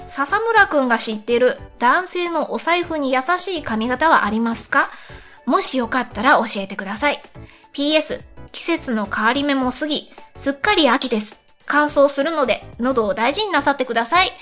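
A woman's voice: high voice, lively intonation, moderate pace, sharp tone, faint music behind. A woman hosting a casual radio chat, speaking politely and chattily as she talks and reads listener mail. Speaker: radio show host.

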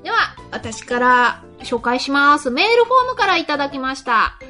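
High-pitched, animated woman hosting radio. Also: normal speed. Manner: laughs often while talking. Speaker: radio show host. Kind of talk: lively chat and reading listener mail on an internet radio show. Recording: music under the voice. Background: background music.

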